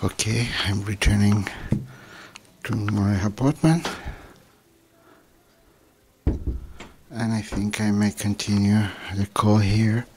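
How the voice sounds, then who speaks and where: Male, Chilean Spanish accent, low voice, halting amateur radio vlogger, slightly noisy handheld recording. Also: fairly even intonation, a little mellow. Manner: halting, with many pauses. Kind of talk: informal narrated radio demonstration. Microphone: handheld camera's built-in microphone. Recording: noisy handheld camera audio.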